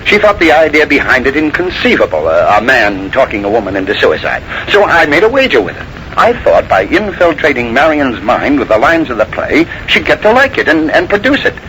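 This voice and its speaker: An actor playing a slick, defensive husband under suspicion in a 1940s radio mystery, agitated and theatrical. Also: male voice, medium pitch, wildly swooping pitch, a little fast, pushed and bright, poor audio. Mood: pleading, protesting. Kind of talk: 1940s radio drama acting. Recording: hissy 1940s radio recording.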